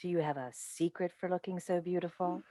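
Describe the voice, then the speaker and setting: A woman acting a smooth, probing television talk show host with pointed questions, in a staged play reading on a video call. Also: lowish voice, fairly even intonation, a little fast, mellow, close to an everyday voice. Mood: smoothly probing.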